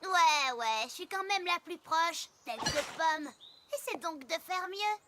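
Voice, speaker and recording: A woman's voice: very high voice, animated, measured pace, background noise. A woman playing a brash, boastful and competitive young pegasus mare in a cartoon dub, with a cocky, blunt manner. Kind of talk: animated cartoon dub acting.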